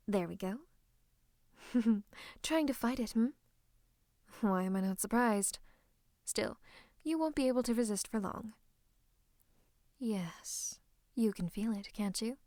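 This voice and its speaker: A woman's voice: on the high side, mellow, no echo, studio quality, natural voice. A woman playing a scheming royal advisor and sorcerer in an ASMR roleplay, smug and mock-courteous, slipping into menacing whispers. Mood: gloating, hypnotic. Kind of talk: ASMR roleplay, theatrical monologue to the listener.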